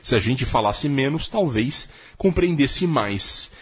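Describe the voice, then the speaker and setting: Male podcast host with a husky edge; animated pitch, relaxed musing commentary. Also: slow pace. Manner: thinks aloud with frequent hesitations. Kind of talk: casual music commentary.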